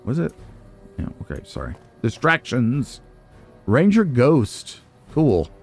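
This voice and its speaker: A man hosting a let's play with casual, musing commentary, as if thinking out loud to himself. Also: mid-range voice, wildly swooping pitch, slow, gravelly, breathy edge, faint music behind. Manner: musing, nitpicking, talks to himself.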